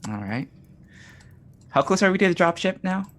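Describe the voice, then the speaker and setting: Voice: mid-range voice, animated, normal speed, fairly bright tone. A tabletop roleplaying player chatting casually, with dry, wry remarks about the game.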